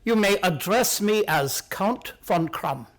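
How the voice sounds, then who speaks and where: Proud disguised king, high-pitched for a man, stately, formal wording, guarded, radio play. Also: animated, slow, a bit bright, heavily acted.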